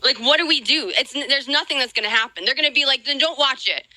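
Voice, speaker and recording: A woman's voice: high voice, fast talker, bright, forceful tone, dry recording, rough recording. A woman livestreamer talking casually and earnestly to her viewers, hesitating and repeating herself. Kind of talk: casual opinion talk to stream viewers.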